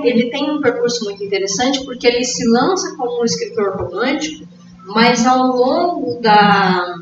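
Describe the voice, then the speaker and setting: Brazilian woman, literature guest, chatty and explanatory, recorded dull and far from the mic. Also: on the high side. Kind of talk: casual book discussion. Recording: echoey, distant, dull, noisy room recording.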